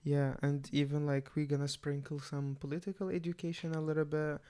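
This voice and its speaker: A man's voice: a little high, little pitch movement, a little fast, dark tone, clean tone. A man in his twenties from Saudi Arabia, of Egyptian family, chatting casually as co-host of a radio show. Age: 20s. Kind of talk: casual, conversational talk show.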